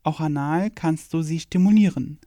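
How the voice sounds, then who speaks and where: A woman's voice: low voice, normal speed, warm tone, no echo. A woman reading a scripted educational radio play in a frank, matter-of-fact way. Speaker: performer in an audio play.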